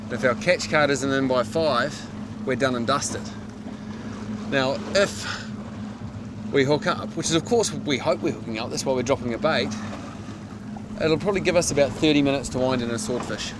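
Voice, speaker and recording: A man's voice: mid-range voice, moderate pace, long pauses, noisy background. A man hosting a fishing show from a boat at sea, chatty and jokey, playfully dramatic, recorded on a lapel mic. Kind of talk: casual on-camera narration. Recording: music bed underneath.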